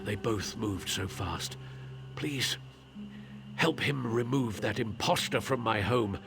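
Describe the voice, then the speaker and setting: Slow, gravelly, wounded grieving husband. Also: male voice, lowish voice. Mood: weak, pleading. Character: wounded grieving husband, dark elf townsman, desperate. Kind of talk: fantasy video game voice acting. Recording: music behind the voice.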